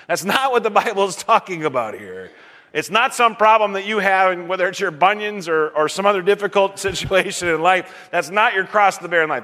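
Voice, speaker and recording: A man preaching a sermon to a congregation, speaking emphatically and deliberately and repeating phrases for stress. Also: medium pitch, sharp tone.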